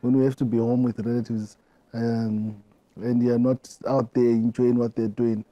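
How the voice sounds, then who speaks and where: A middle-aged man with a Zimbabwean accent, answering interview questions in a reflective way, often halting and repeating words. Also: mid-range voice, level tone, dull audio. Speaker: interview guest.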